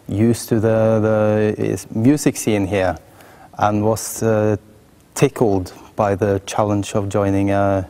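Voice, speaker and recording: A man's voice: fairly low pitch, warm tone. A middle-aged man from Oslo with a Norwegian accent, talking reflectively in an interview in a hesitant, thoughtful way. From Oslo, Norway. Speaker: orchestral cellist.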